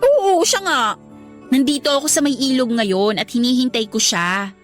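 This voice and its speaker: A shy, naive young woman with a high, lively voice that swoops up when flustered. Soft music runs behind her in this radio drama. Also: moderate pace. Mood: excited. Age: young adult. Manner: earnest, flustered, then indignant. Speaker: heroine Abby.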